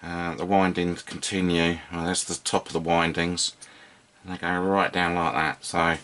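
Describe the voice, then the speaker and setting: A man's voice: deep voice, flat delivery, normal speed, a bit bright, clear, resonant tone. A man giving a casual, unscripted hands-on demonstration, understated and hesitant as he thinks aloud. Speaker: host of a hobby radio repair video. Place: indoors at a workbench.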